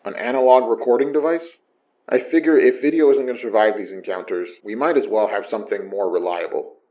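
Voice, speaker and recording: An actor in his thirties playing a gruff, weary detective who speaks in dry, terse lines. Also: medium pitch, fairly quick, muffled recording, lightly acted. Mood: curious. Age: 30s. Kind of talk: audio drama acting.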